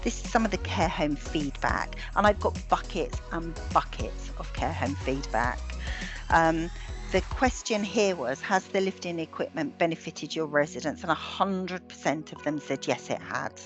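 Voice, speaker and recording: A British woman presenting slides in a webinar over a video call, measured and halting with frequent ums and restarts, her voice going hoarse. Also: deep voice, lively intonation, music in the background. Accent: British English. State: losing her voice.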